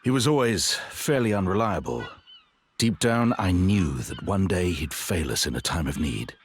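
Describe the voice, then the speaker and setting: A man voicing a grave, honourable, regretful warrior in a video game, earnest and measured. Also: low voice, lively intonation, slight background noise. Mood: grim. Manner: earnest, measured, formal, brooding. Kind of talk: dramatic video game voice acting.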